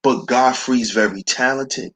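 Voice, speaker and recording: A man with a baritone voice hosting a slow, preachy commentary monologue, calling his listeners brothers. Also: fairly bright tone. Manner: preachy, addresses listeners as brothers, repeats "see". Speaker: commentary channel host.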